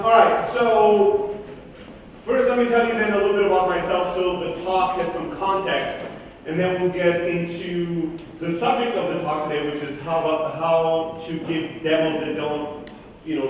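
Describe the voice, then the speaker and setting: A man's voice: a little high, recorded from a distance, a little hiss or hum. Blunt, profane man in an echoey hall. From Greece, raised in southern Germany. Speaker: conference speaker. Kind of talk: keynote talk. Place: large conference hall.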